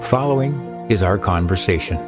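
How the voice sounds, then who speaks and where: A man hosting a podcast, giving a measured, solemn scripted narration. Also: low voice, expressive. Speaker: podcast host. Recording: narration over music.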